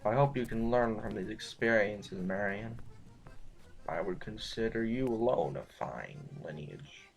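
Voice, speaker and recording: A man's voice: fairly low pitch, expressive, gravelly, low-quality recording. Man playing a rambling, bitter duke, music behind. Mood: resigned. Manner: halting, musing, theatrical outbursts. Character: rambling, bitter, disillusioned duke and mentor, fond of poetry. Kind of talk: audio drama acting.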